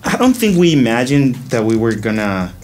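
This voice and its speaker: A man around forty answers interview questions conversationally, halting and repeating words as he thinks. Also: medium pitch, very animated, a little mellow. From Guatemala. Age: 30s to 40s. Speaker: company executive, interview guest. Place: indoor studio-style office set.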